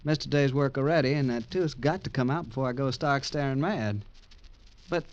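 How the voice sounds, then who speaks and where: Folksy farmer played by a man, hesitant, mid-pitched, dull old radio sound. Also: normal speed, close to an everyday voice. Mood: folksy plain. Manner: hesitant, folksy, full of uh's. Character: plain, good-natured male country farmer with toothache. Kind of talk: 1940s radio acting.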